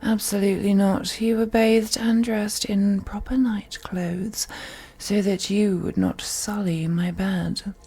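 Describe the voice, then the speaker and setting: Woman acting a rich vampire mistress for audio roleplay, super breathy n whispery, mellow, condescending and teasing. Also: medium pitch, little pitch movement. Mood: haughty disdain. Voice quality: breathy, often dropping into whisper. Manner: slow, teasing, condescending, haughty. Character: wealthy, seductive, possessive female vampire toying with captive. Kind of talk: scripted audio roleplay monologue.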